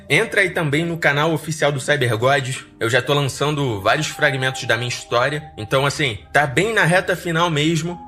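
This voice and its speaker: A man's voice: medium pitch, sharp tone. A Brazilian man hosting a podcast, chatting to listeners in an informal, slangy, enthusiastic way. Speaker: horror podcast host and narrator. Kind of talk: casual outro chat to listeners. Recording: music behind the voice. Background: music.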